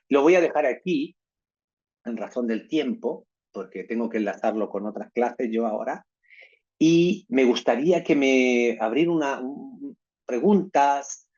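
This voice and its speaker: A man's voice: mid-range voice, darkish tone, dull audio. A man giving an online lecture to teachers, constantly adding tag questions. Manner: peppers talk with tag questions.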